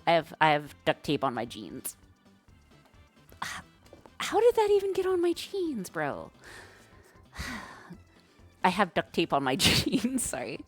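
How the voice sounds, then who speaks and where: A woman's voice: lowish voice, wildly swooping pitch, slow pace, pauses often, husky, faint music behind. A woman streaming live, thinking aloud as she writes code and chats with viewers in a slangy, casual way. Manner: thinks aloud while coding, slangy and chatty. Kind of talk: live-stream coding chat.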